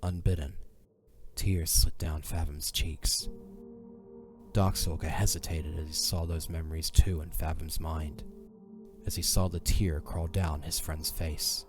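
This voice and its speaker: A man with a markedly deep voice narrates a dramatic story. His delivery is solemn and measured, set against clearly audible music. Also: level tone.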